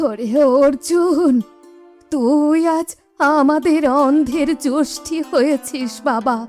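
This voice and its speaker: A woman playing a grateful, emotional poor old village woman in a cartoon, with drawn-out delivery. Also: sits high, darkish tone, faint music behind. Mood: grateful, moved. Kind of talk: cartoon acting.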